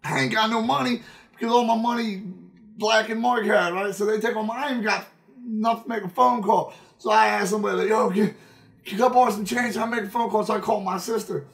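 A man in his thirties telling a casual, profane story as a game streamer, in an animated, emphatic way, repeating phrases for effect. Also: high voice, a little fast, gravelly. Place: indoor room at a streaming setup.

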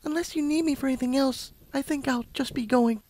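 A man voicing a timid, gentle young female pegasus pony in a soft, hesitant near-whisper. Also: extremely high pitch, brisk, voice pushed up. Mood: shy, hesitant. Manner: hesitant, meek, polite.

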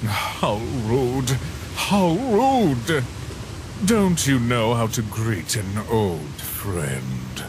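Man acting sinister cartoon phantom, taunting, drawn-out phrasing, sliding intonation. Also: medium pitch, big pitch swings, noisy background. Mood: mocking. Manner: slow, taunting, theatrical. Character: sinister, mocking, forgotten phantom man, an old acquaintance. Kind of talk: fan comic dub acting.

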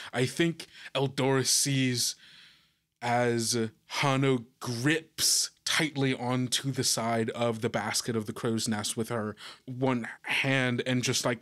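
A man describing scenes in a tabletop role-playing game in a measured, improvised way. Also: medium pitch, fairly bright tone.

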